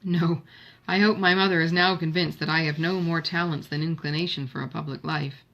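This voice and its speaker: A woman voicing a reserved, modest young gentleman in a novel, with a formal, self-deprecating manner. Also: low voice, steady tone, a little fast, lo-fi sound. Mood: subdued.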